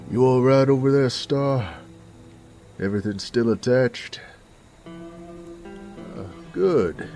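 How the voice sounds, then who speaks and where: A man playing a gruff werewolf bounty hunter in a Western audio drama, with a slow, sardonic Southern American drawl and a gravelly voice. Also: on the low side, full character voice. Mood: strained, wary. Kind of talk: Western audio roleplay acting.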